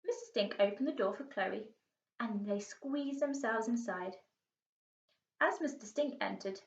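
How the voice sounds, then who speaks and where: British lady teacher reading a kids book out loud, highish voice, echoey and a bit muffled. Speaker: primary school teacher reading aloud. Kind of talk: expressive storybook reading. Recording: muffled, echoey room, distant mic.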